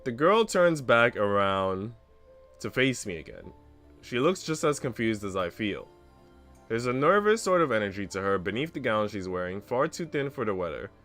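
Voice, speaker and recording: A man's voice: fairly low pitch, sing-song, normal speed, a bit bright, soft background music. A man streaming a visual novel, reading its narration aloud expressively with casual commentary.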